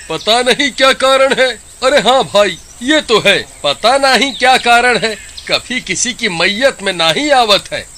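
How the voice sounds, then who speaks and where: Man as a high, animated rustic Hindi villager. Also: a little hiss or hum, heavily acted. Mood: curious, gossipy. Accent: rustic eastern Hindi. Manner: animated, folksy. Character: simple, excitable male Indian villager, easily alarmed. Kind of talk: cartoon story acting.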